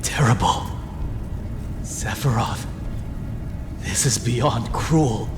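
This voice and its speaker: An actor voicing a troubled, earnest young soldier in a video game, halting and dismayed. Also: male voice, mid-range voice, music in the background. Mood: horrified. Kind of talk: dramatic video game cutscene acting.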